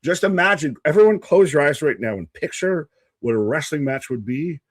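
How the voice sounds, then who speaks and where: A man's voice: on the high side, expressive, moderate pace, dry recording. A man co-hosting a casual wrestling watch-along stream, laid-back and joking, often trailing off mid-thought. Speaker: co-host.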